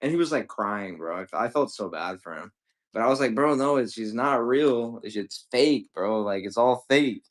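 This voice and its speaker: A man's voice: medium pitch, lively intonation, normal speed. Profane rambling young man streaming. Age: 20s. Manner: rambling, profane, slangy, lots of "bro". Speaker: livestream seller. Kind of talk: casual livestream chat.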